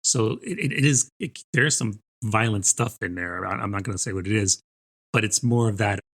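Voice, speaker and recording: A man's voice: on the low side, normal speed, fairly bright tone. A man co-hosting a book podcast, talking through a review in a conversational way.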